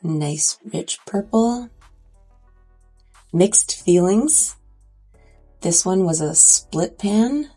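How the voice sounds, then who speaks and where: Female beauty vlogger, stuffy nose, low husky tone, chatty makeup review. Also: animated, very slow, pauses often, dark tone, faint music behind. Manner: chatty, enthusiastic about swatches. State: congested.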